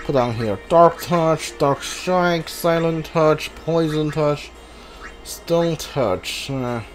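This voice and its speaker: A gamer giving casual playthrough commentary, thinking aloud in halting fragments over a game. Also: medium pitch. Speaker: gamer, streamer. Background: game music.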